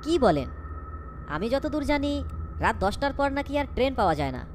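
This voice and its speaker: A woman's voice: high-pitched, sing-song, normal speed, noisy background. A woman voicing a young, anxious storyteller in a cartoon ghost story, dramatic, wondering and fearful. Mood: puzzled. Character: young, anxious storyteller caught in eerie events. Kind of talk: scripted cartoon ghost-story narration and dialogue.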